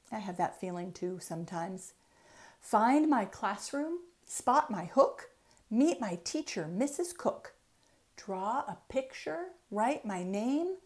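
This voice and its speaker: Story-time reader: American woman, middle-aged, higher-pitched, very unhurried, expressive delivery for kids. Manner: expressive, deliberate rhyme reading with plain asides to children. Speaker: children's author reading aloud. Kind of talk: children's story time read-aloud. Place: small room at home, indoors.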